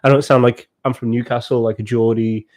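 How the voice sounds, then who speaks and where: A man's voice: mid-range voice, flat delivery, a little mellow. Chatty northwest English man. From England. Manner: chatty, laughs often, breaks into quick voice impressions. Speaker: podcast co-host. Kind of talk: casual chat.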